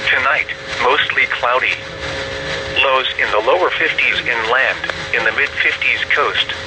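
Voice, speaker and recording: Male text-to-speech style weather announcer, medium pitch, impersonal bulletin delivery, heard through a radio with clear background noise. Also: unhurried. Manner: formal, impersonal, clipped phrasing. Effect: radio broadcast. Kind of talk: scripted weather and marine forecast bulletin. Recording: noisy weather radio picked up in vehicle.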